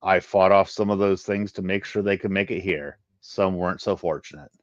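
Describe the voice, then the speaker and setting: A man voicing a stoic, guarded alien male in a sci-fi story, speaking in measured, formal phrases. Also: deep voice, no room sound, highs cut off, natural voice. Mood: grim.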